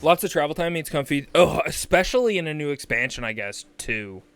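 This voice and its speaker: A man's voice: fairly high pitch, expressive, fairly quick, fairly bright tone, clean tone, no room sound. A man hosting a game livestream, chatting casually and exclaiming often, with sweary asides. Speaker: livestream host.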